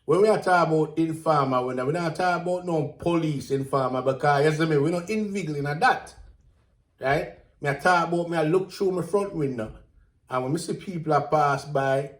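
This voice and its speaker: A man's voice: fairly high pitch, a little fast, reverberant. A man with a Jamaican accent, co-hosting in playful banter, teasing and mock-serious, slipping into patois. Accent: Jamaican, switching into patois. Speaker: co-host.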